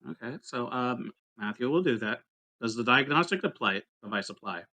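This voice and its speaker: A man playing a tabletop game, chatting casually and explaining things in a halting, nerdy, technical way. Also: medium pitch, lively intonation, bright, forceful tone. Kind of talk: casual chat.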